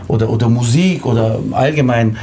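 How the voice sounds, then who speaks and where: A middle-aged man speaks as an interview guest. His voice is mid-pitched and soft-edged, and he halts and repeats words as he recounts personal memories. Faint noise sits in the background. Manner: hesitant, halting, repeats words. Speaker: interview guest, amateur actor. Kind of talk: conversational personal storytelling.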